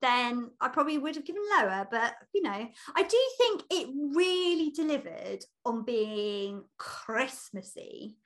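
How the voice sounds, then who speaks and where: A woman's voice: on the high side, sing-song, a little slow, few pauses, fairly bright tone. A woman chatting casually and playfully as co-host of a book podcast. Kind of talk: casual unscripted book chat.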